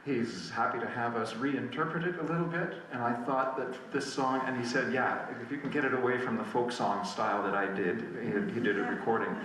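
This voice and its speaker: A man's voice: medium pitch, steady tone, fairly quick, hardly any pauses, a bit bright, slight background noise. A man giving a casual spoken introduction as a musician about to perform a song. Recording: very echoey room, distant mic, music.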